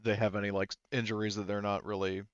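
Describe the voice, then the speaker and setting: A man with a low, clean and resonant voice acts as game master for a tabletop roleplaying session. He talks informally and haltingly as he narrates. The sound is dry and slightly dulled. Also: flat delivery, no room sound, muffled recording.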